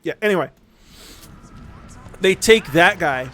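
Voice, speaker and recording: A man hosting a livestream, rambling casually in an animated, sarcastic, sweary storytelling way. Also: sits high, takes it slowly, soft background music. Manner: acts out mocking quotes. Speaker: livestream host.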